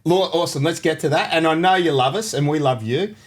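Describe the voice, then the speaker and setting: A man's voice: on the high side, brisk. A middle-aged Australian man hosting a casual podcast interview, rambling and teasing his guest with jokes. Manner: jokey, rambling, teasing banter. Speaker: podcast host, courier company owner.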